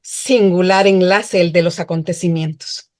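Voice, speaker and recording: A woman's voice: fairly low pitch, fairly even intonation, measured pace, a little mellow. A woman narrating a literary story for a radio drama. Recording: music underneath.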